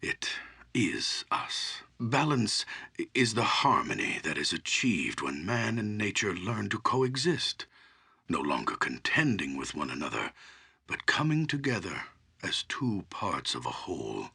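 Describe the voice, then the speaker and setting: An actor playing a wise, grave old archdruid in a fantasy game, speaking in a measured, solemn, husky voice. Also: male voice, deep voice, very animated, sharp tone, full character voice. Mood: reverent. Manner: measured, solemn, formal storyteller delivery. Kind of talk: scripted fantasy game character dialogue.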